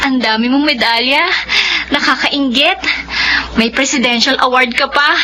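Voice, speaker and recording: A woman's voice: high voice, normal speed, pushed and bright, rough recording. A woman playing a wistful but hopeful young single mother in a Filipino radio drama. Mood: hopeful, admiring.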